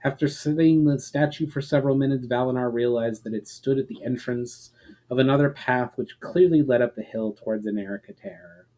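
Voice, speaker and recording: A man giving a dramatic, deliberate storybook narration of a fantasy tale, as an author reading aloud. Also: mid-range voice, mellow, rough recording.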